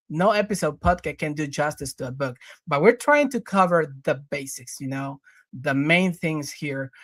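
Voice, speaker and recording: A man's voice: on the high side, fairly bright tone, no room sound, clean studio sound. A man hosting a podcast interview, talking casually with many fillers and restarts. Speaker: podcast host.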